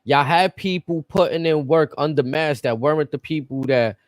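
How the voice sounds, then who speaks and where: A man's voice: fairly high pitch, moderate pace, dry recording, clean studio sound. A man in casual podcast chat, blunt and profane, arguing his points. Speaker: podcast co-host.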